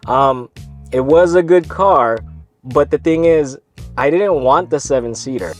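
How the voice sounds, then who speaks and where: A man's voice: a little high, a little slow, soft background music. A man with a stuffy, congested voice, hosting his own video in an easygoing, rambling way. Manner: easygoing, rambling with self-corrections. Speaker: vlog host. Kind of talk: casual talk to camera.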